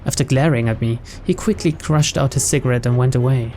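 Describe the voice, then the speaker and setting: A man's voice: mid-range voice, fairly quick, warm tone, slight background noise. A man playing through a visual novel, reading its narration aloud in English as a storyteller. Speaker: video game let's player.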